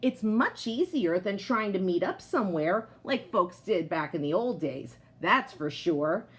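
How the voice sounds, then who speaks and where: A woman's voice: mid-range voice, animated, a little slow, close to an everyday voice. A woman voicing a smug, comfort-loving male potato from a picture book, boastful and exclamatory. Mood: boastful, delighted. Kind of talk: picture-book character narration.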